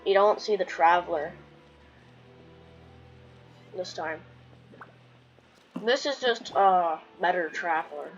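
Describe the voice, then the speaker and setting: A young woman casually comments on a video game in a slow, rambling, giggly way. Her mid-pitched voice sounds slightly dull, with music playing behind her. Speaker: gamer streaming a playthrough.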